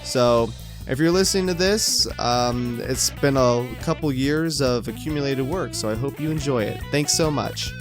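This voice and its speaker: A man hosting a podcast, giving a casual, friendly introduction with a few pauses. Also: mid-range voice. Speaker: podcast host. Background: plucked guitar music.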